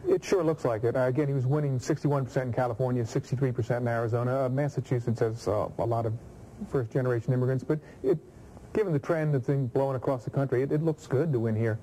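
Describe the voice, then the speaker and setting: A man's voice: medium pitch, steady tone, fast, warm tone, slight background noise, poor audio. A middle-aged man, a TV news reporter, debriefing the anchor in the studio, speaking off the cuff with frequent fillers. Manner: unscripted, many uhs and ums. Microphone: lapel mic.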